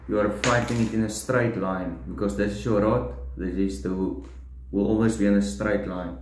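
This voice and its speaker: A young man explaining step by step how to tie fishing tackle, in a halting, plain way while he works at a table indoors. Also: fairly low pitch, a little mellow. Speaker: fishing tutorial host. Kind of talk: step-by-step demonstration. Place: indoors at a wooden table, echoey room. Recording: roomy echo, faint room noise.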